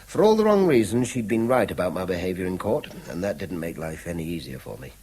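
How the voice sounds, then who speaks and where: A middle-aged English schoolteacher in a 1970s radio drama. His low voice is husky and moves freely in pitch. He is wry, guarded and a little hesitant, yet firm. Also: very animated, quick pace, warm tone, full character voice. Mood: wry reflection. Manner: hesitant, educated phrasing. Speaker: actor.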